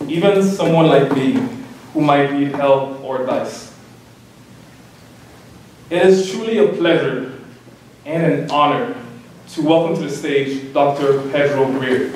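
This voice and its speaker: A young man earnestly reading a prepared introduction of a speaker at a podium, with some halting fillers. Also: a little high, darkish tone, a little hiss or hum. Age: young adult. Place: large lecture hall, indoors. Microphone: podium mic, recorded by a far camera. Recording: echoey hall from far off.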